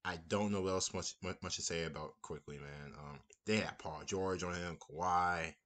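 A man's voice: low-pitched, moderate pace. A young man giving a casual, rambling post-game reaction from a small room, repeating himself as he talks through the game. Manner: relaxed, rambling, repeats phrases. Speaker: basketball fan commentator.

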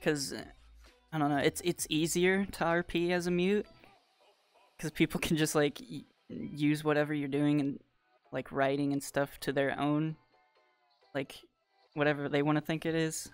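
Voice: mid-range voice, flat delivery, normal speed, pauses often, smooth, no echo. Casual livestreamer, dry close sound over music. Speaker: streamer. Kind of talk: casual livestream chat, answering viewer comments. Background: background music.

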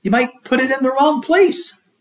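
A man voicing a cheerful, quirky woman schoolteacher in a high, sing-song storybook voice. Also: moderate pace. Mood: cheerful, bossy.

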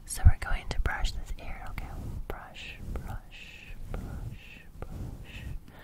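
A gentle young sleep fairy, played by a woman in an ASMR roleplay, speaking in a breathy whisper. She goes slowly and repeats herself softly, soothing and reassuring, right up close to the mic. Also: lightly acted. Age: young adult. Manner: slow, repetitive, reassuring murmuring. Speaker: ASMR creator. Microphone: close studio microphone.

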